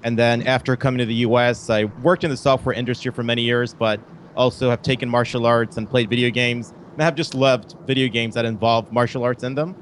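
Casting note: man from Bangladesh, mid-pitched, friendly and chatty, faint hiss behind. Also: steady tone, fairly quick. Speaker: podcast guest. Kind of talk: casual interview.

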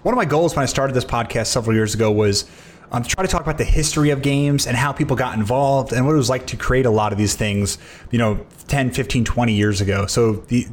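A man's voice: mid-range voice, a little hiss or hum. A man hosting a podcast interview, casual and enthusiastic, often amazed by his guests. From United States. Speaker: podcast host.

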